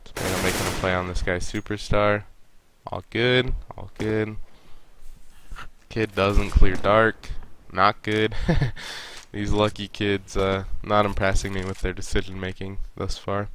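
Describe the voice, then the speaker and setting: Deep bright-voiced man with a stuffy nose. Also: measured pace, a little hiss or hum. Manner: relaxed, coaching, talks to players directly. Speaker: esports coach reviewing a match. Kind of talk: casual game review commentary.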